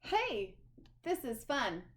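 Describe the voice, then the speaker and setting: A woman's voice: medium pitch, lively intonation, slow pace. A woman voicing a grumpy male bird who snaps at his friends in a storybook read-aloud. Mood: cheerful. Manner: snapping, shouting at friends.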